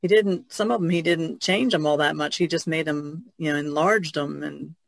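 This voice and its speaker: A man's voice: on the high side, fairly even intonation, normal speed, gravelly, no room sound. A man chatting casually as a podcast panelist, in a tentative, rambling way. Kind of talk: casual chat.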